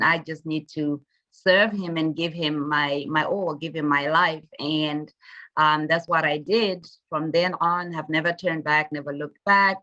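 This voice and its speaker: Low-pitched, bright woman. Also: dull audio. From Zimbabwe. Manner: reflective, earnest, laughs easily, many fillers. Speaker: minister and podcast guest. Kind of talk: casual testimony in an interview.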